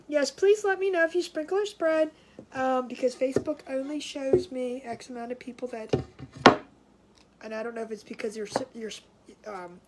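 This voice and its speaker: A woman's voice: high voice, slight background noise. A woman hosting a casual craft livestream from home, sounding nasal and congested, chatty and scattered, with frequent laughs and restarts.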